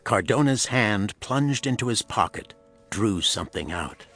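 A man narrating a pulp thriller as scripted storytelling, in a dramatic, measured manner. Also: on the low side, animated, a bit bright.

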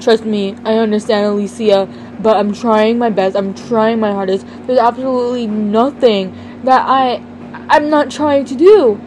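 A woman's voice: fairly high pitch, fairly even intonation, a little slow, a little mellow, slight background noise, lightly acted, low-quality recording. A woman playing an earnest, exasperated teenage son, pleading emphatically in an amateur game roleplay.

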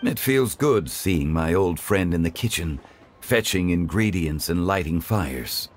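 Dignified ancient gentleman for a game, slow and courteous, lowish voice. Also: expressive. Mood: musing. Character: calm dignified ancient man, composed and gentle. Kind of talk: game voice acting. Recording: game audio with faint music behind.

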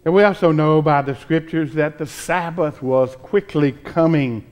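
A man in his sixties preaching a sermon as a pastor, with deliberate, measured phrasing and dramatic pauses. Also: fairly high pitch, darkish tone. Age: 60s. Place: church sanctuary, at the pulpit. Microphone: pulpit microphone.

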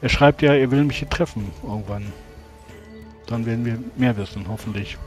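A thoughtful gentleman adventurer played by a man in a tabletop role-playing game. His mid-pitched voice is polite, formal and measured. Faint music sits behind it. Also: close to an everyday voice. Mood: pensive, courteous.

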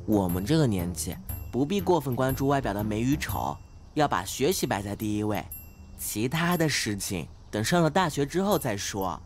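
An actor playing an earnest, oblivious teenage class monitor who talks in a preachy, naive way in an audio drama. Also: male voice, medium pitch, sing-song, normal speed, soft background music, close to an everyday voice. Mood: earnest lecturing. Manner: preachy, matter-of-fact, naive. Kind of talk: modern audio drama acting.

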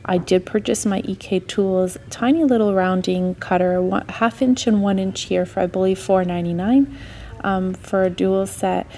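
A woman's voice: mid-range voice, steady tone, normal speed, warm tone, a little hiss or hum. A woman giving a casual, chatty and enthusiastic running narration as a shopping vlogger. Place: indoors, in a store aisle.

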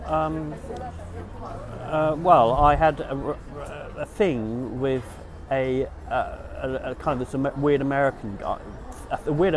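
Middle-aged male vlogger, medium pitch, candid storyteller who rambles and self-corrects, recorded outside with busy city noise. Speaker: dating vlogger. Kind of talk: casual storytelling to camera. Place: outdoors beside a garden hedge in town.

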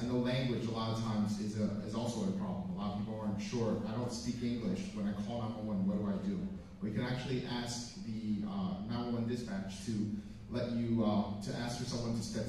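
A man's voice: fairly low pitch, mellow, far from the mic, a little hiss or hum. A man in his thirties, a police officer, giving an earnest, plain-spoken speech with a handheld mic on a community hall stage. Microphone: handheld microphone. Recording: echoey hall, picked up from afar.

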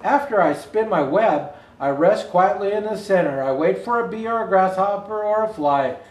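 An older man reading a children's picture book aloud as a storyteller, with expressive, deliberate phrasing and the odd stumble. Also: high voice, distant mic. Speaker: Santa Claus storyteller. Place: indoor room with Christmas decor. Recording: roomy indoor echo.

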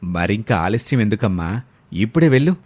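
Man doing a benevolent old family patriarch, lowered voice, affectionate, story drama. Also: very animated, brisk, a little mellow. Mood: pleased, caring. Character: kindly, generous elderly father-in-law.

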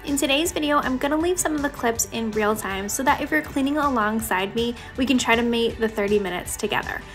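Female home vlogger, young adult, fairly high voice, casual chatty voice-over over background music. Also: fairly bright tone. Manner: chatty, addressing viewers directly. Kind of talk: casual voice-over narration to viewers.

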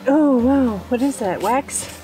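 A woman's voice: high voice, takes it slowly, dark tone, soft background music, poor audio. A middle-aged woman hosting a homestead vlog, chatting casually while working in an outdoor garden. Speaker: homestead vlogger. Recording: outdoor noise behind.

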